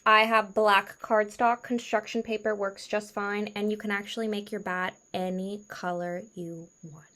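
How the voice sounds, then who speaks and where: A woman hosting a puppet craft tutorial, talking in simple, deliberate phrases as if for a young audience. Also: medium pitch, little pitch movement, fairly bright tone. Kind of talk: instructional craft tutorial talk.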